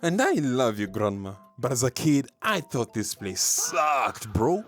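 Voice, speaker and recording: A man's voice: medium pitch, faint music behind. A young man from Kenya, telling a comedic scripted story in an informal, dramatic way. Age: young adult. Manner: animated, informal, dramatic pauses for emphasis. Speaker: storyteller, video host.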